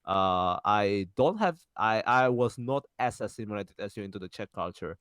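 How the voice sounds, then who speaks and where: A young man co-hosting a podcast, speaking casually in halting sentences with many restarts and fillers. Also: lowish voice, animated, fairly bright tone, clear, resonant tone, no room sound, clean studio sound. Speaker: podcast co-host. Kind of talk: casual conversational chat.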